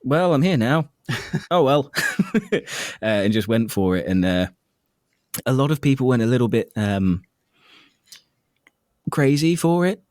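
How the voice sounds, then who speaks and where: A man's voice: mid-range voice, sing-song, measured pace, pauses often, darkish tone, no echo, clean studio sound. A man who narrates audiobooks, chatting casually as an interview guest in a self-deprecating, jokey and sometimes sweary way.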